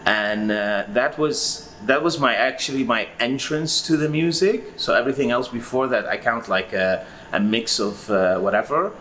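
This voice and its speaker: A man's voice: mid-range voice, normal speed, few pauses, a bit bright, a little hiss or hum. A man in his forties from Iraq, a record-collector guest who talks through his story in a rambling, hesitant way with lots of fillers. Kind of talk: casual interview answers.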